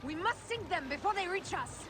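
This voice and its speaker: A woman's voice: very high-pitched, lively intonation, normal speed. A woman voicing a female fleet commander in a video game, giving tense, clipped warnings. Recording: distant game audio with loud music.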